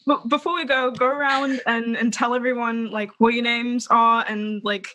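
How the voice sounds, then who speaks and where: A young woman hosting a podcast over a video call, bubbly and laughing, with quick stop-start phrasing. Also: on the high side, flat delivery, sharp tone, rough recording. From Trinidad. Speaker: podcast host. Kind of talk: casual chat.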